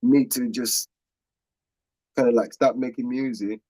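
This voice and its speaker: A young man from Nigeria talks in an easygoing, slangy way, often breaking into laughter. His voice sits at a middle pitch. The sound is dry and close. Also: fairly even intonation, a little slow, mellow, no echo. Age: young adult. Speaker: guest musician. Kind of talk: casual interview chat.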